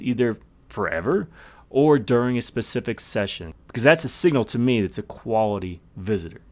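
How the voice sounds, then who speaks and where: A man hosting a podcast, explaining tips in a scripted monologue with emphatic, short punchy phrases. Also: medium pitch. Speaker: podcast host.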